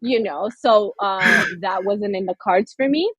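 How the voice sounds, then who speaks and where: A woman's voice: medium pitch, unhurried, fairly bright tone, husky, no room sound. A woman from Somaliland, an author and guest, talking earnestly and reflectively in an interview, with many fillers and restarts. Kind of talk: interview conversation.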